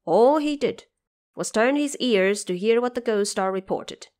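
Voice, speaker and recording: A woman's voice: fairly high pitch, animated, a little slow, a little mellow. A woman narrating an audio drama in a scripted, dramatic storytelling style.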